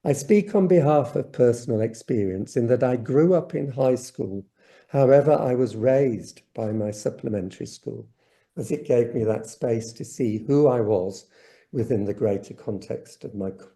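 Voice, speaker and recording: A man delivering a keynote over a video call, his voice deep-toned and mellow. He lectures in an enthusiastic, off-the-cuff way and often trips into restarts. His pitch sits in an ordinary middle range for a man. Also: moderate pace. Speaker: keynote speaker. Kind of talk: academic conference presentation. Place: home or office, online video call. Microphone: computer mic on a video call.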